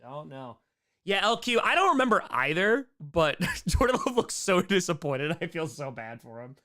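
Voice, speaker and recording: A man with a bright, clear voice hosts a casual live chat. His pitch jumps around a lot in an animated way. He jokes and often repeats short phrases, like a streamer answering viewers. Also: normal speed.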